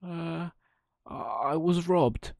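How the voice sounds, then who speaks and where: Man putting on a high-pitched excitable puppet boy. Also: drawn-out words, creaky, no room sound, studio quality, exaggerated voice. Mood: nervous fibbing. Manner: hesitant, stammering when explaining. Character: naive wooden puppet boy, excitable and fibbing. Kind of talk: children's storybook character voice.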